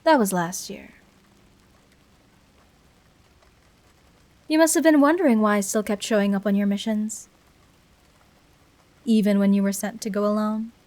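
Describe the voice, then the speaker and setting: A woman playing a bitter, protective fallen hero turned villain in an emotional confessional audio roleplay, speaking deliberately with dramatic pauses. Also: mid-range voice, darkish tone, natural voice. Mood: tender, confessional. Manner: deliberate, dramatic, pausing between short phrases.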